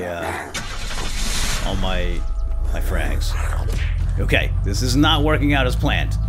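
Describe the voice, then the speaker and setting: A man hosting a let's play, commentating playfully and exclaiming often as he talks to himself and to viewers. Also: medium pitch. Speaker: gaming video host.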